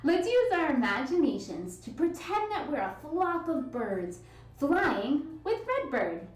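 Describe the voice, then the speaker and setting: A woman hosting a children's read-aloud and movement lesson, instructing young children in an animated, singsong way. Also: high-pitched, a little slow. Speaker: children's yoga and story time host. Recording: roomy echo, distant mic, music bed. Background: music.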